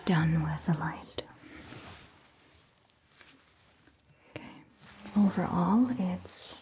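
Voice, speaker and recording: A woman plays a gentle, attentive female vampire doctor in an ASMR roleplay. The voice is mostly a breathy whisper with a crackly, fry-laden edge. Phrases come extremely slowly and carefully, over faint background noise. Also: medium pitch. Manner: gentle, slow, measured. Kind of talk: soft-spoken ASMR roleplay.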